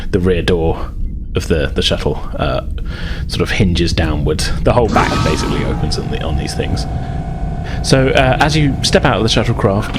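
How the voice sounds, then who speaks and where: A man narrating a tabletop sci-fi role-playing game as game master, descriptive and somewhat halting. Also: deep voice, noisy background. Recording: music playing behind the voice.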